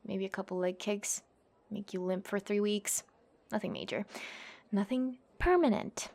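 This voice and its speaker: A woman's voice: mid-range voice, vocal fry, a little mellow. A woman acting a cocky, taunting young female fighter. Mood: threatening, confident. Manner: taunting, swaggering, foul-mouthed, theatrical pauses and scoffing. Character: cocky young female MMA fighter classmate, menacing, protective tsundere. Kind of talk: ASMR roleplay monologue.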